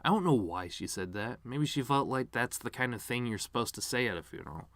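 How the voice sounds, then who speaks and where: A man's voice: mid-range voice, lively intonation, fairly quick, fairly bright tone, dry recording. A man performing a dramatic monologue as a bitter, regretful actor giving his mother's eulogy, with sardonic, rambling asides. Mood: bitter, musing.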